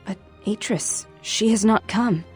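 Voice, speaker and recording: A woman's voice: mid-range voice, a little slow, faint music behind, natural voice. A young woman playing an earnest, worried follower in a video game. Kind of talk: video game dramatic acting.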